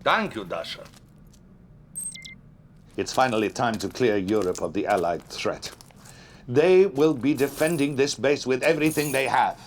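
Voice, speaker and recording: An actor playing a shrewd, self-assured Soviet premier with a Russian accent, speaking in an authoritative, deliberate, theatrical manner in a video game cutscene. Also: male voice, mid-range voice, slight background noise, close to an everyday voice. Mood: commanding.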